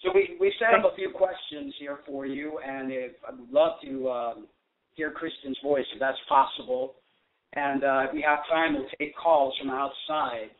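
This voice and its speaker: A man's voice: a little high, expressive, moderate pace, gravelly. Earnest man hosting radio. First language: not English. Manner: warm earnest praise, reading prepared questions. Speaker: radio host. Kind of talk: hosting a call-in radio show. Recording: phone-like lo-fi sound.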